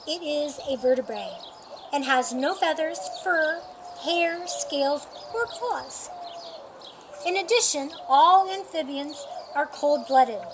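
A middle-aged woman reading a children's picture book aloud in a deliberate, expressive storytime manner. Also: high voice. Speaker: storytime reader. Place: outdoors in a sunny yard by a painted wall. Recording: outdoor noise with birdsong. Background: birdsong and outdoor ambience.

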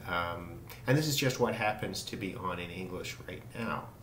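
A man giving a casual, halting, unscripted radio demonstration, musing as he goes. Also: low voice, a bit bright. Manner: halting, musing delivery with trailing thoughts. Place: indoors, small room at a desk. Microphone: camera mic some distance away. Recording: roomy, distant mic with radio static noise.

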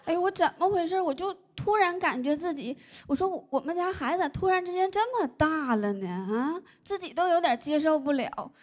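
A woman, doing a stand-up comedy set into a handheld mic on a large stage, telling stories deadpan and landing punchlines. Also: very high voice, a little fast, few pauses. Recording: live audience laughter noise. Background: audience laughter.

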